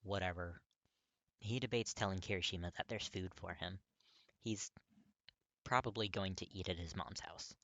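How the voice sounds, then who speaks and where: Expressive fiction narrator in a dry, close recording. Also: deep voice, normal speed, dry recording. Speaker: podfic narrator. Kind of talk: scripted fiction narration, expressive audiobook reading.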